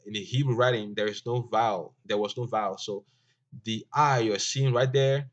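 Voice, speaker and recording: A man whose first language is Ewe, giving an informal language lesson in a slow, halting way with many fillers and restarts. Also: lowish voice. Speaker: teacher. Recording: dull lo-fi recording.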